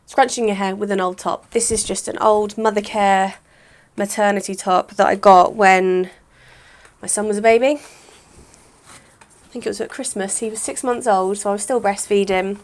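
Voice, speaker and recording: A woman, chatting casually through a hair-care vlog, a little croaky, rambling with lots of "sort of". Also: mid-range voice, fairly even intonation, moderate pace. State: slightly croaky voice. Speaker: hair vlogger.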